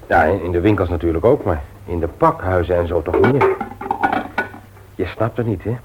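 A man's voice: low voice, normal speed, close to an everyday voice. An actor playing a teasing, forgetful husband and father in a naturalistic radio drama, speaking in a hesitant, conversational way. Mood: pondering. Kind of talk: naturalistic Dutch radio play acting. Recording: noisy old radio play recording, clicks.

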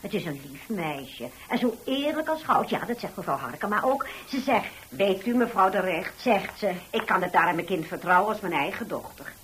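An actress playing a chatty, persuasive, well-meaning busybody neighbour in a radio drama, with a gossipy way of talking. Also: female voice, mid-range voice, animated, moderate pace, hardly any pauses, a bit bright, slight background noise, natural voice. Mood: gossipy, pleading. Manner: gossipy, repeats who said what.

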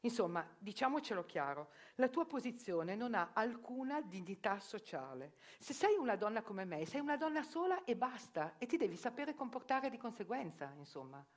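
A woman's voice: fairly high pitch, big pitch swings, moderate pace, few pauses. A middle-aged woman, a journalist, performing a theatrical monologue in an ironic, self-questioning manner that rises to urgent outbursts. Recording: radio broadcast with faint music. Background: live music.

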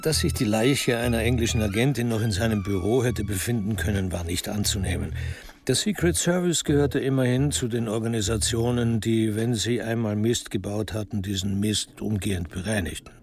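Crime drama narrator male actor: low, gravelly, dry, measured, wry storytelling. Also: a little mellow, lightly acted. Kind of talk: scripted radio drama narration.

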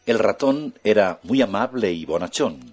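A man narrating a children's fairy tale in an expressive, soft-spoken way. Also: lowish voice, moderate pace, breathy edge. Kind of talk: children's storytelling.

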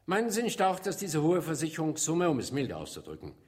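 An actor playing a sharp, insistent prosecutor in a radio drama, questioning formally with pointed irony. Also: male voice, fairly high pitch, wildly swooping pitch, fast. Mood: coolly incriminating. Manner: formal, pointed, ironic cross-examining. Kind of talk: German radio drama acting.

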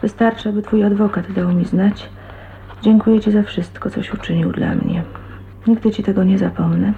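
An actress playing a shy, heartbroken young woman in a radio drama, often murmuring to herself in a hushed, breathy way. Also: mid-range voice, steady tone, normal speed, close to an everyday voice. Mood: restrained sorrow. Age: young adult. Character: shy, heartbroken 29-year-old woman leaving her husband. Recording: tinny, band-limited 1980s radio recording, hissy.

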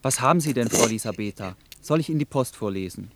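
An actor playing a well-meaning, solicitous young care intern in a radio play, speaking politely and helpfully in an everyday way. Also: male voice, medium pitch, lively intonation, fast talker. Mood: concerned. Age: young adult. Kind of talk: radio drama dialogue.

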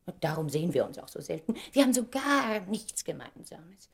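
Vain, theatrical young actress with sweeping intonation. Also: female voice, on the low side, big pitch swings, a little fast, gravelly, husky, exaggerated voice. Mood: piqued, disdainful. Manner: dramatic, self-important, indignant. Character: vain, theatrical, wounded stage star of twenty-nine. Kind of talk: German radio play acting.

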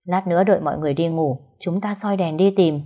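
A woman voicing a sly young master who gives orders in a brisk, commanding way. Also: lowish voice, dark tone, close to an everyday voice. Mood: scheming.

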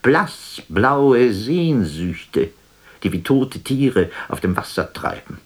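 An actor playing a melancholy, lonely poet in a literary radio drama, speaking in a solemn, introspective and theatrical way. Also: male voice, mid-range voice, sing-song, slow, slightly rough, full character voice. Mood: brooding, wistful.

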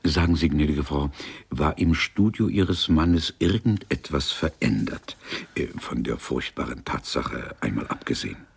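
An actor playing a formal, dryly ironic police detective in a German radio crime comedy, speaking in a courteous, deliberate way. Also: male voice, extremely low pitch, lively intonation, full character voice. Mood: formal, probing. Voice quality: gravelly, husky. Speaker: police investigator questioning a witness.

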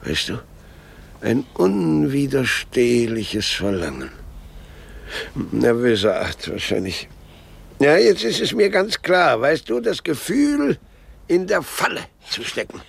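An actor playing a fretful, needy old husband in a radio drama, speaking in an agitated, querulous, pleading way. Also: male voice, medium pitch, sing-song, slow pace, exaggerated voice. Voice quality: husky, gravelly.

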